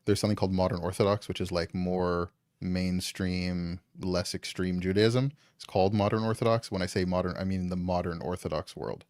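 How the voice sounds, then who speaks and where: Deep voiced guy from canada rambling along, lots of um and like, dry close sound. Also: steady tone, a little fast, hardly any pauses, darkish tone, no echo. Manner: loose and rambling, full of ums and likes. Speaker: guest. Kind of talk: casual conversation.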